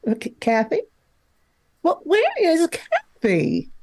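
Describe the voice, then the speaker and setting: A sentimental old country wife played by a woman in a vintage radio play. Her voice sits fairly high and swings expressively, lingering slowly over wistful exclamations. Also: wildly swooping pitch, creaky, darkish tone, full character voice. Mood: wistful, nostalgic. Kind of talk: vintage radio drama acting.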